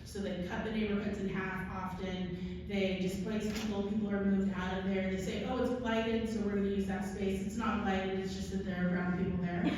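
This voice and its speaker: A woman's voice: medium pitch, little pitch movement, normal speed, darkish tone. A middle-aged American woman gives a conversational conference talk at a podium. She sounds far from the microphone in a big, very reverberant hall, with faint noise behind her. Her delivery is informal, with frequent little check-ins to the listeners. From Oregon, United States. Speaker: keynote speaker, architect. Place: large hall, at a podium on stage. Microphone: gooseneck podium mic, filmed from audience distance.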